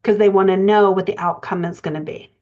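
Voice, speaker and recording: A woman coaching writers in an explanatory lecture style, speaking haltingly with pauses as she thinks through her points. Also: mid-range voice, fairly even intonation. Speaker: writing coach presenting a podcast lesson. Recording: dull, band-limited audio.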